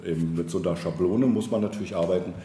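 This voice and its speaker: Guy with a deep voice chatting casually, stops and starts, dry humour, echoey room. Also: mellow. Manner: halting, searching for words. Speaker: interview guest, political candidate. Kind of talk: casual conversation. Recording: roomy echo with background noise.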